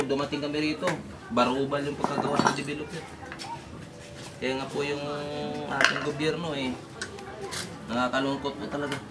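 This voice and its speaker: A middle-aged Filipino man giving earnest, polite testimony as a resident, speaking plainly and respectfully. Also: mid-range voice. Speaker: relocation site resident being interviewed. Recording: very noisy location recording. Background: loud background noise.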